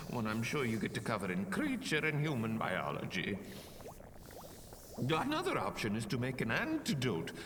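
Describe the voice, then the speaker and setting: A man's voice: medium pitch, noisy background. A man acting a pompous, stern, long-winded professor in a fantasy audio roleplay, pedantic and theatrically emphatic. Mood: lecturing, pedantic.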